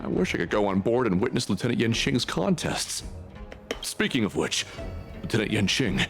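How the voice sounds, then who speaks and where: An actor voicing a dutiful soldier in a video game, respectful and a little hesitant. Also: male voice, on the low side, big pitch swings, normal speed, gravelly, fairly bright tone, soft background music, exaggerated voice. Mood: wistful. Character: deferential male soldier on watch, dutiful.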